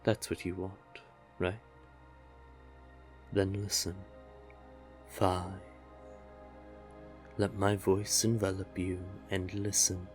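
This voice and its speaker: A man's voice: sits low, little pitch movement, soft background music. A man voicing a doting, possessive, manipulative male sea witch in an intimate audio roleplay, coaxing the listener tenderly. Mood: hypnotic, coaxing. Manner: coaxing, unhurried, tender with pet names.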